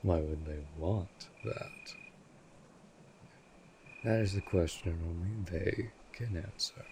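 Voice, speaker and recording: A man playing an ancient, aloof vampire lord in an audio roleplay, speaking formally and imperiously with cold menace and a breathy, husky delivery. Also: deep voice, a little mellow, a little hiss or hum. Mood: cold, weary. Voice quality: husky, breathy, dropping near whisper. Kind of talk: scripted audio roleplay drama.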